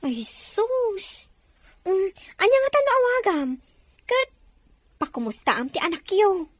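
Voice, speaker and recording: A woman acting a chatty, concerned friend in a melodrama, with a Filipino accent and expressive, exclaiming delivery. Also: very high-pitched, slow, no echo, exaggerated voice. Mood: curious, concerned.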